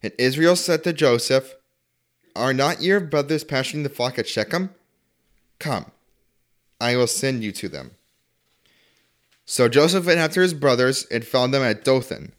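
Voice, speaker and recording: A man's voice: mid-range voice, animated, a little slow, pauses often. Male scripture reader, mid-range voice, reading a Bible narrative.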